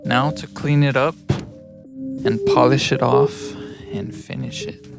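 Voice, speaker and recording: A man's voice: medium pitch, fairly even intonation, a little slow, vocal fry. A man from Mexico living in the United States chats casually and thinks aloud in a rambling, self-reflective way while producing music on a livestream. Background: electronic music.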